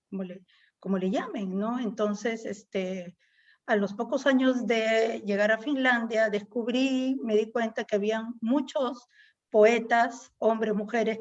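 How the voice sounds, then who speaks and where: Woman, Peruvian accent, middle-aged, reflective, somewhat high voice, muffled audio. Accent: Peruvian Spanish. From Peru. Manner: reflective, with frequent "¿no?" tags and "este" fillers. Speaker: guest poet. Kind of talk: conversational interview.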